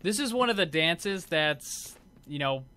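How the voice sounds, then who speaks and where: A man's voice: on the high side, expressive, measured pace, pushed and bright. A man giving casual, unscripted commentary as host of a gaming video. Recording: clear background noise.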